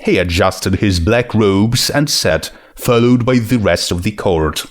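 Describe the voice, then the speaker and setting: A man narrating scripted fiction in a measured, dramatic storytelling manner. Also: lowish voice, expressive.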